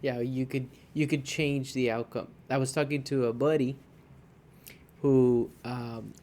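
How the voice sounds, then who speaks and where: A man's voice: medium pitch, fairly even intonation, measured pace, darkish tone, slight background noise. A man co-hosting a podcast in casual conversation, often checking in with his partner and restarting his sentences. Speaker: podcast co-host.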